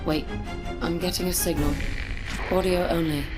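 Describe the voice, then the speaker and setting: A woman's voice: fairly low pitch, fairly even intonation, normal speed, over loud music, lightly acted. An actress playing a female shipboard artificial intelligence in a video game, precise and matter-of-fact with a light wryness. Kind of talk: video game cutscene acting.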